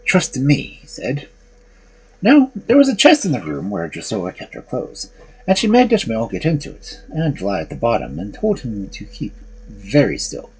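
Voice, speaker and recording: A male storyteller with a very husky voice at an ordinary male pitch. He narrates fairy tales expressively, with very wide swings of intonation. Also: wildly swooping pitch, normal speed, a little mellow, rough recording. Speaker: storyteller host.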